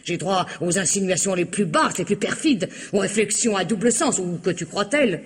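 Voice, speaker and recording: An actress playing Eva, a taunting, contemptuous wife in a vintage French radio drama, with a husky, mocking delivery. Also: female voice, mid-range voice, animated, normal speed. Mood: scornful.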